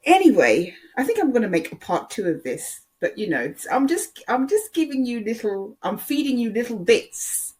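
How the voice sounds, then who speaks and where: Casual online commentator, mid-pitched, rambling and hesitant delivery with emphatic repetition. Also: very animated, moderate pace, hardly any pauses, a little mellow. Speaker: online video creator.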